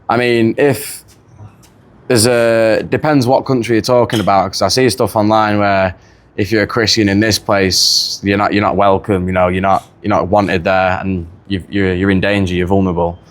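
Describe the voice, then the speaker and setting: An English man with a Manchester accent, chatting casually as a podcast guest, relaxed and full of repeats. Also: sits low. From England. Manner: relaxed, chatty, lots of "you know" and repeats. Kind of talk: casual conversation.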